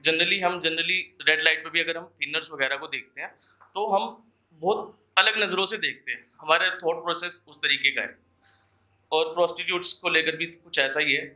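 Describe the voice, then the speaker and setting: A young man recites verse as a live open mic poet. His voice sits a little high and is very sharp and forceful. He declaims his couplets, repeating lines for emphasis, and speaks to the audience in a casual way. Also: normal speed.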